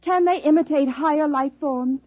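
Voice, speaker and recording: A woman's voice: high voice, normal speed, no room sound, natural voice. An actress playing an authoritative female commander of a space expedition in a 1950s radio drama. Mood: commanding, urgent. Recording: muffled vintage 1950s radio recording.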